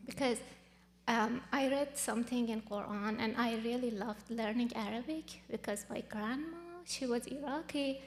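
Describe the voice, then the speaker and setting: Woman from Iran, earnest and halting, gravelly. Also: a little high, long reverb tail. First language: Farsi. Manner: earnest, halting with many fillers. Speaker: guest. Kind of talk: personal testimony interview.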